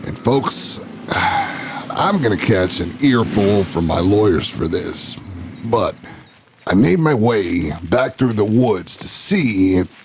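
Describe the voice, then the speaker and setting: An actor playing a brash male talk show host, narrating his own investigation in an audio drama with a folksy, swaggering manner and dramatic pauses. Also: on the low side, expressive, vocal fry, noisy background, full character voice. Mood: conspiratorial. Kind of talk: scripted first-person narration in an audio drama.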